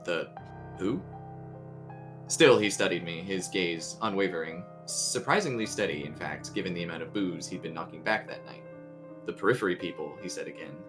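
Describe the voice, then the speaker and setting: A man's voice: low-pitched, brisk, hardly any pauses. A man reading a creepy story aloud as its narrator, with music playing underneath. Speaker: story reader.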